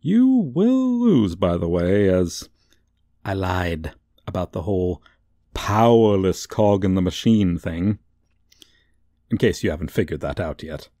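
Casting: male actor as smug, teasing supervillain for comedic audio roleplay, low and mellow, theatrical. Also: expressive, no room sound, clean studio sound. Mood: smug, menacing. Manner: theatrical, teasing, drawn-out delivery. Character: gloating, suave, theatrical male supervillain toying with a young hero.

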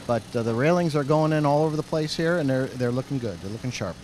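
Halting middle-aged male project manager. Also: mid-range voice, expressive, darkish tone, slight background noise. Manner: plain-spoken, halting, with many fillers. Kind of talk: casual on-site interview answers. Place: outdoors in an unfinished stadium under construction. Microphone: host's handheld microphone.

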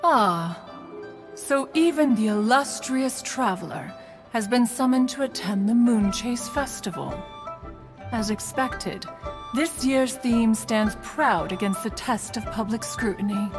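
A woman's voice: on the high side, slow. A woman voicing a haughty, dignified immortal bird spirit in a video game, pompous and archaically formal, with reverb on the voice. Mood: lofty. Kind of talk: theatrical video game voice acting. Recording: long reverb, faint music.

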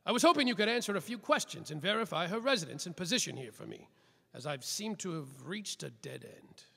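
An actor playing a world-weary, boozy, sarcastic private detective in a slapstick noir radio mystery, with a wry, dramatic delivery. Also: male voice, high-pitched, big pitch swings, brisk, vocal fry, sharp tone, husky, full character voice. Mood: probing, sardonic. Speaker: detective and narrator.

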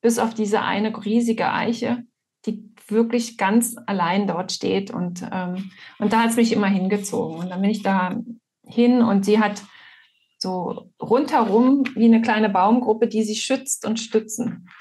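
A woman in her forties from eastern Germany with a German accent and a slightly husky voice, telling her story in a halting, reflective way. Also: mid-range voice, a little mellow. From Potsdam, eastern Germany. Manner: halting, thoughtful. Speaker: guest, author. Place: indoor room at home, on a video call.

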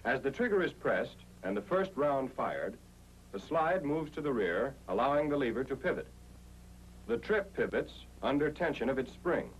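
American man, animated 1940s training-film narrator. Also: mid-range voice, moderate pace. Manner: methodical, explanatory. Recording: noisy 1940s film soundtrack with hum.

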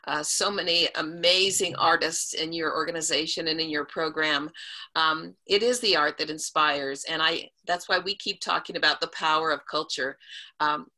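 Middle-aged woman, effusive host, lowish voice but bright, on a laptop call. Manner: warm-hearted, effusive praise. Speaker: event host. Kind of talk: hosting a virtual award event. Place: home room with bookshelves. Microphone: laptop video call.

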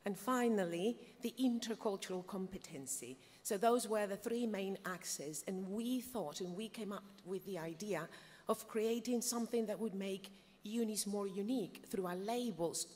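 A woman's voice: mid-range voice, darkish tone. A woman in her forties giving a semi-scripted presentation on a conference panel into a table microphone. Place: indoor hall, panel table. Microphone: table microphone on stand. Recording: reverberant hall sound.

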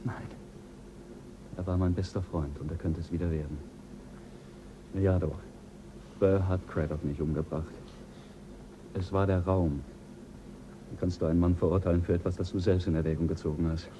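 An actor playing a level-headed ship's doctor who leads the crew and gives brisk orders in a radio play. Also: male voice, low voice, fairly even intonation, dull audio, background noise, natural voice.

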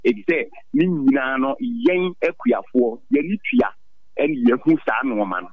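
Gravelly, animated Ghanaian man, emphatic. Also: mid-range voice, moderate pace, no echo, narrow, tinny audio. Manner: emphatic, rhetorical questioning. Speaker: farmers' association president, interviewee. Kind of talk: impassioned radio interview, airing grievances.

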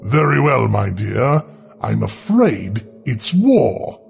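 A man's voice: mid-range voice, big pitch swings, slow, gravelly, soft background music, heavily acted. A man voicing a bitter, resentful old ghoul father with a formal, barking manner in a horror story. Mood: cold, threatening.

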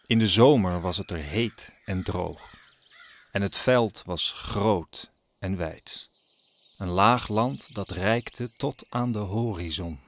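A man narrating a scripted audio drama as a storyteller, solemn and deliberate. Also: fairly low pitch.

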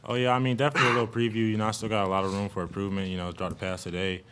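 Young college athlete at the press table, low easygoing voice, dry jokes, faint room hum. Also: male voice, level tone, racing. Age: young adult. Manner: laid-back, joking, lots of "you know". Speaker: college football receiver. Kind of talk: postgame press conference answers. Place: press room in front of a logo backdrop. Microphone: table mic on a stand.

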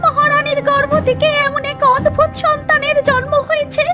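A woman voicing an astonished, deferential palace maidservant in a cartoon fairy tale, shrill and dramatic. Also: little pitch movement, moderate pace, over loud music. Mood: shocked.

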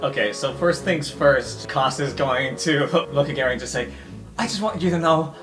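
A man's voice: medium pitch, moderate pace, over music. A man playing an improvised tabletop game, casually narrating his character in a playful, teasing way. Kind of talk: casual improvised roleplay chat.

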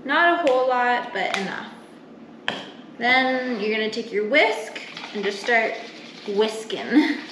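A young woman talks through a cooking demo at a slightly unhurried pace. Her delivery is informal and explanatory, recorded in a roomy, echoey kitchen with some noise behind her. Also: fairly high pitch, animated. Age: young adult. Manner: informal, explanatory, talking while working with her hands. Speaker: home cooking vlogger. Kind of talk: casual step-by-step cooking demonstration. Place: home kitchen, indoors. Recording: roomy kitchen echo, clear background noise.

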